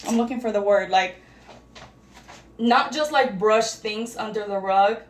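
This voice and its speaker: A woman's voice: mid-range voice, a little slow. A young woman whose first language is Arabic, chatting casually as a vlogger while getting ready, rambling and searching for words. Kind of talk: casual get-ready-with-me chat. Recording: echoey room.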